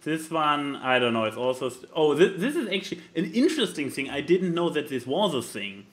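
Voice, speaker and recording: A man's voice: on the high side, very animated, brisk, fairly bright tone. A man co-hosting a podcast, chatting casually with dry sarcasm. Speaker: podcast co-host. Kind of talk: casual chat.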